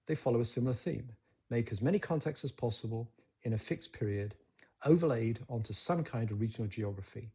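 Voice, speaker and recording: A man's voice: mid-range voice, warm tone, breathy edge. A man narrating a hobby documentary from a script as its host.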